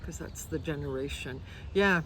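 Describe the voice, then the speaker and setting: A woman's voice: deep voice, moderate pace, a little hiss or hum, low-quality recording. A woman in her sixties from Canada, musing through interview answers and dropping her voice at the ends of thoughts. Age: 60s. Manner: musing, drops to a near-whisper.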